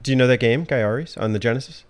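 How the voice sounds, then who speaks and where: A man's voice: medium pitch, quick pace. A man hosting a podcast, chatting about video games in a laid-back, informal way. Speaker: podcast host.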